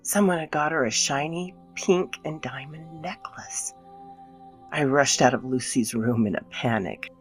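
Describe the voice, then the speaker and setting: A woman playing an anxious, guilt-ridden mother in a dramatic scripted horror narration, theatrical and dipping into breathy near-whispers. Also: low voice, a little slow, faint music behind. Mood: confessional, uneasy.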